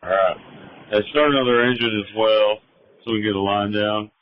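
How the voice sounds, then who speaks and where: Deep-voiced firefighter on a muffled two-way radio. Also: male voice, fairly even intonation, fairly quick. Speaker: firefighter, incident commander. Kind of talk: emergency radio traffic, terse procedural calls. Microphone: radio handset. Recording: muffled narrowband fire department radio.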